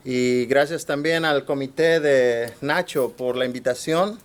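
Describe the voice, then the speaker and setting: Mexican man giving a church talk. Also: medium pitch. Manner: speaks in short phrases, pausing for an interpreter. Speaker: workshop speaker.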